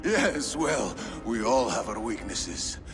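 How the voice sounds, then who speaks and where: Cruel crime boss, grandiose, poetic, deliberate, highly animated pitch, video game drama, music underneath. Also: male voice. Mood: mocking. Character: theatrical menacing male crime boss, devout and cruel. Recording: music behind the voice.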